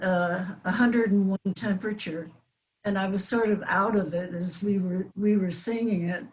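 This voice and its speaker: Older woman, very slow pace, mid-pitched, hesitant casual reminiscing, interview guest. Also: a little mellow, low-quality recording. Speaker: panel guest, former child radio performer.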